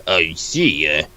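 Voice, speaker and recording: A man reading a character in an improvised comic dub with mock-formal banter. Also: sits low. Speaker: co-reader of a comic.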